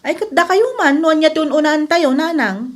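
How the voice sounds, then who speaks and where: A woman's voice: high-pitched, fairly quick, lightly acted. A woman playing a helpful, soothing grown daughter in radio drama, speaking in a reassuring, conversational way. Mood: curious.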